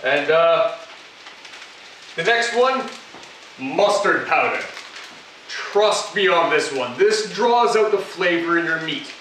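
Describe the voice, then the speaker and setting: A man with a high, bright voice walks viewers through a recipe in a chatty, instructive way, tossing in little jokes. He is recorded by a camera mic across a home kitchen, so the sound is very echoey and distant. A faint hum of noise sits underneath. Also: animated, slow pace, long pauses. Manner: chatty, instructive, with joking asides. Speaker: cooking show host. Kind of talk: casual cooking demonstration. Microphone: camera mic from across the room.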